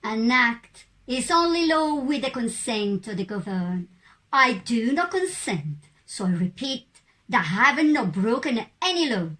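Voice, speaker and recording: A woman playing a defiant citizen who makes firm, deliberate statements in a scripted puppet show. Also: fairly high pitch, expressive, pushed and bright, low-quality recording. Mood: challenging.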